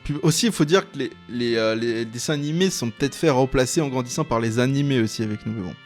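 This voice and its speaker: A man's voice: medium pitch, fast talker, soft background music. A man in his late twenties hosting a video, chatting casually and explaining a tier list in an informal, rambling way. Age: late 20s. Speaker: YouTube host.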